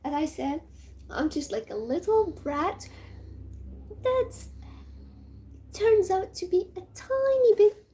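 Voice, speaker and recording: Young moroccan woman, high voice, unhurried, excitable rambling, lots of "like", slips into whispers, moroccan accent. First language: Amazigh (Tamazight). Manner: excitable, rambling, full of "like" fillers, drops into whispers. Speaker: vlogger. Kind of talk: casual rambling chat.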